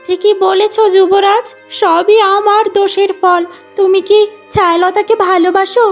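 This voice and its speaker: A woman's voice: very high-pitched, flat delivery, unhurried, faint music behind, voice pushed up. A woman voicing a sorrowful, repentant witch who pleads in a cartoon fairy tale. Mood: remorseful, urgent.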